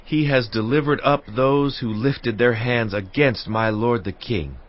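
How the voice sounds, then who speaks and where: An actor playing an eager, insistent young messenger in an audio Bible drama. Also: male voice, mid-range voice, a little slow, close to an everyday voice. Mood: breathless reverence. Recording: dull lo-fi audio.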